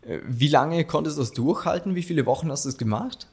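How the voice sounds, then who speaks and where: A man's voice: a little high, fast, a little mellow, breathy edge. A man, a fitness coach, hosting an interview and prompting his guest with many affirming murmurs. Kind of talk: podcast interview.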